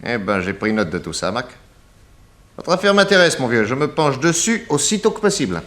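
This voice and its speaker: An actor playing a genial, self-assured private detective of 1910 in a French radio drama, urbane and expansive. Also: male voice, mid-range voice, big pitch swings, a little fast, a bit bright. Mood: thoughtful, interested.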